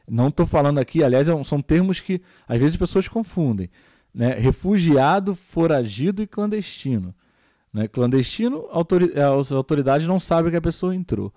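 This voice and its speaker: A man's voice: medium pitch, mellow, no echo, clean studio sound. A man with a Brazilian Portuguese accent, teaching a recorded geography lesson and explaining step by step, with many fillers and tag questions.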